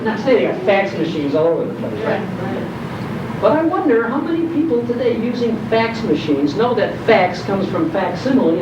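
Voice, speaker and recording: An older American man telling humorous, nostalgic stories to an audience, animated, with dramatic pauses and repeats for comic effect. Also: high-pitched. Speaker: guest speaker. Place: indoor hall at a live program. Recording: muffled, noisy, echoey room from far off.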